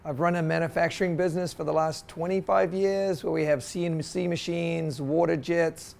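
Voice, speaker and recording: A middle-aged man with a New Zealand accent, a company co-founder talking casually about his work and often pausing with "uh". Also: a little high, creaky. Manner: relaxed, with frequent "uh" pauses. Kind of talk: casual promotional chat.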